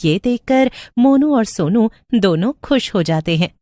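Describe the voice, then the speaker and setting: A woman narrating a cartoon horror story in a steady storybook manner. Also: a little high, unhurried, no echo, studio quality.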